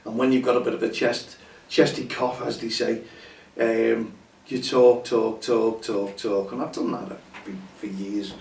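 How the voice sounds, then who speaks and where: A hoarse man hosts a casual chat show, rambling and chatty with lots of fillers. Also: medium pitch, little pitch movement, measured pace, a little mellow. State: hoarse, losing his voice. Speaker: show host. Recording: roomy echo, distant from mic, faint ambience.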